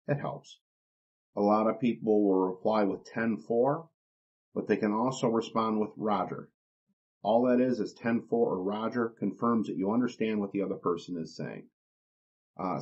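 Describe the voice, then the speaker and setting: Low-voiced man explaining, slightly dull recording. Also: a little mellow, breathy edge. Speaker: podcast host. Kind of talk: instructional podcast monologue, plain explaining.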